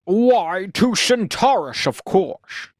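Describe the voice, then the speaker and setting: A woman's voice: medium pitch, lively intonation, slow, a little mellow, no echo, studio quality. A woman playing an anxious, hesitant young male scientist with orthodox beliefs in a radio sci-fi drama. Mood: earnest, hesitant. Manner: hesitant, incredulous, protesting. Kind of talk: cold-read old-time radio sci-fi drama.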